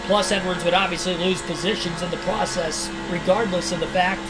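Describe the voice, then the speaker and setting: A man giving steady play-by-play commentary while streaming a racing video game, often hedging his calls. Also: high voice, brisk, rough recording. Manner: steady race-caller delivery, hedging guesses. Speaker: game livestreamer. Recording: video game music behind. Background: game music.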